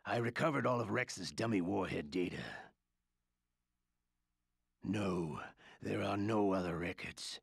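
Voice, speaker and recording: An actor playing a cool, sly secret agent in a video game, reporting deferentially to a superior in a gravelly, husky voice. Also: male voice, fairly low pitch, no echo, clean studio sound, exaggerated voice. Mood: calm, deferential. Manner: deferential, measured, clipped.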